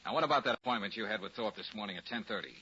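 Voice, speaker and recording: An actor playing a brisk, wisecracking tough private detective in a 1940s radio drama. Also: male voice, fairly low pitch, animated, pushed and bright. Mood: needling, firm. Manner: wry, slangy, hard-boiled. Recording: muffled, hissy 1940s radio recording.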